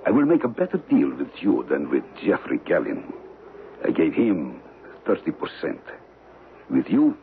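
An actor playing a shady, calculating smuggler in a radio mystery, speaking deliberately and formally with dramatic pauses. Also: male voice, extremely low pitch, huge pitch swings, full character voice. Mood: scheming, persuasive. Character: shady, calculating male smuggler of stolen antiquities. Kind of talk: old-time radio mystery acting. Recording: muffled, noisy old radio broadcast recording.

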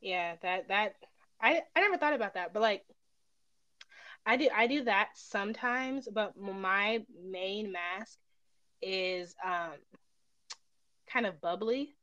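A woman's voice: medium pitch, slow, pauses often, sharp tone, no room sound, lo-fi sound. A woman in a casual personal conversation, speaking candidly and reflectively as she feels her way through her phrasing.

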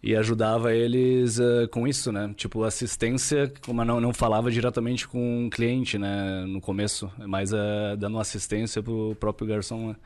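A Brazilian man speaks as a guest in a relaxed interview, his voice low and held to a narrow, even pitch. He takes his time and now and then hunts for the right word, leaning on tag questions as he goes. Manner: unhurried, searches for words, frequent tag questions. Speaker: podcast guest, real estate broker. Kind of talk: casual interview chat.

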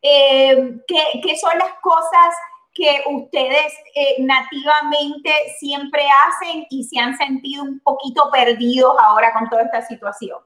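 Woman hosting a live stream, high bright voice, chatty, mixes in english, echoey room. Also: a little slow. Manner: animated, mixes English into Spanish, greets viewers. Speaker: host of a live social media show. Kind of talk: casual live-stream chat.

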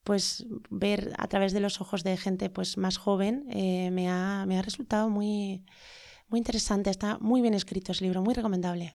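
A middle-aged woman with a Spanish accent talks reflectively as a guest, pausing often, filling gaps with "eh" and tag questions. Her voice sits at an average pitch for a woman. It is recorded dry and close, with no room sound. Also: level tone, creaky, darkish tone, no room sound. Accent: Spain Spanish. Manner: hesitant, many fillers and tag questions. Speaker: interview guest, lawyer. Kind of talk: reflective conversational interview.

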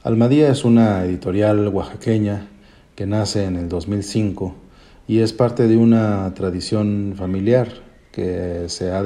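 Company director: man, Mexican accent, lowish pitch, very mellow dark tone, prepared message delivery. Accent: Mexican Spanish. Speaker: publishing house director, guest.

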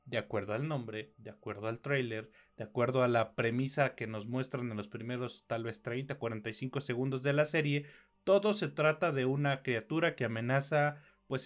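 A Mexican man co-hosting a podcast, reviewing films and series in a blunt, casual, slangy way. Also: medium pitch.

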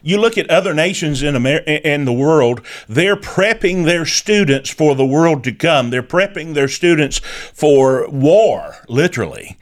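A man's voice: a little high, animated, measured pace. A man delivering impassioned political commentary, indignant and scolding, hammering home repeated phrases. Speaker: talk show commentator.

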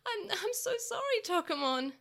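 A woman voicing a small, eager and tearful boy in a high, childlike voice. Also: big pitch swings, moderate pace, fairly bright tone, heavily acted.

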